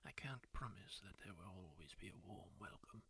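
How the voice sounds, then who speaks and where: A man whispering as a kindly masked male wanderer who gently reassures a wounded stranger, with musing asides. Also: deep voice, animated, normal speed, vocal fry, slightly rough, full character voice. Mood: soothing. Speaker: ASMR roleplay creator. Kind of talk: whispered ASMR roleplay.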